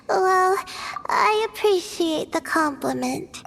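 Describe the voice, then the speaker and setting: A woman playing a shy, self-doubting young student in a video game, speaking gently and hesitantly with modest little stammers. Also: very high voice, animated, very slow, vocal fry, full character voice. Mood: modest, thoughtful. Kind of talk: animated video game character acting.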